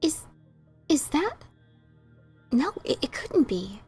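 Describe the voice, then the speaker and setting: Woman acting a high, flustered unicorn fangirl. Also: big pitch swings, slow pace, darkish tone, faint music behind, full character voice. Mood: starstruck, flustered. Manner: earnest, flustered. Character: bookish, excitable young female unicorn fan.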